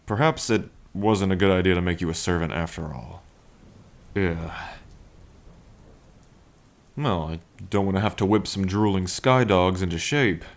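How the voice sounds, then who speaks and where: A man's voice: low-pitched, a little slow, pauses often. A man playing a playful, lovestruck dragon king in an intimate ASMR roleplay, with a languid, teasing and tender manner. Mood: teasing, possessive. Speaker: roleplay voice performer. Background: rain.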